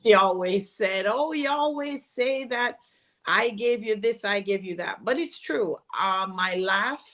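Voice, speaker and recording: A Jamaican woman in her forties, a guest telling stories about her childhood in an unhurried way. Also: mid-range voice, fairly bright tone. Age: 40s. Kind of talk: conversational interview.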